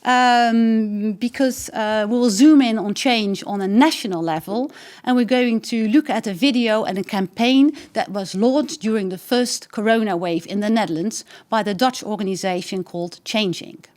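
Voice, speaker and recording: A woman's voice: mid-range voice, normal speed, darkish tone. A woman moderating a webinar over a video call, friendly and a little hesitant, with many ums.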